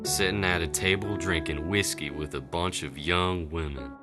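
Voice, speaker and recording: A man's voice: low-pitched, moderate pace. A man narrating a Western folk tale for a radio drama in folksy storyteller phrasing, with music underneath. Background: guitar music.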